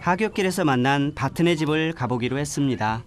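A man narrating a travel documentary in a gentle, reflective storytelling voice. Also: fairly high pitch, animated, brisk. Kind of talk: scripted voice-over narration. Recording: voice-over with music behind. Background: background music.

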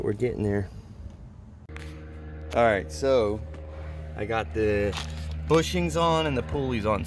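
A man's voice: lowish voice, a little hiss or hum. A man casually talking through a hands-on build, explaining it step by step in informal, colloquial words, recorded outdoors on a handheld phone. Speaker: pressure washing hobbyist showing a build. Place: outdoors on a concrete patio. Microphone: handheld phone behind the camera.

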